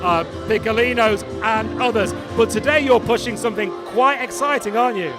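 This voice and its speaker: A man's voice: high voice, fairly quick. A man hosting an outdoor event, interviewing guests with playful, cheeky presenter patter. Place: outdoor event at a car showroom. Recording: loud event with music and crowd. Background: music and crowd chatter.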